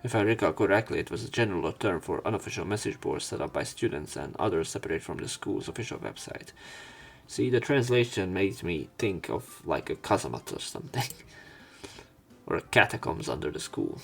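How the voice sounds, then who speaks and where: A man reading visual novel text aloud as a let's play narrator, breaking off into halting, wry casual asides. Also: deep voice, animated, creaky, a bit bright, husky. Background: faint game music.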